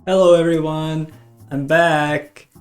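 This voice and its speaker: A man's voice: on the high side, fairly even intonation, slow pace, a little mellow. A man from Norway with a slight cold chats casually to viewers as a YouTube host, in a hesitant, self-deprecating way. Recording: voice over background guitar music. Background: guitar music.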